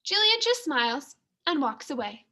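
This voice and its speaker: A woman's voice: high-pitched, big pitch swings, moderate pace, sharp tone. A woman teacher reading a children's story aloud, expressively and with careful enunciation.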